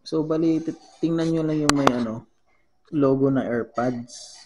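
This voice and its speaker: A man with a Filipino accent giving a casual how-to demonstration as a vlogger, halting as he searches for words and mixing two languages. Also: mid-range voice, background noise. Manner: halting, searching for words, switches between Tagalog and English. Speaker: vlogger giving a gadget tutorial.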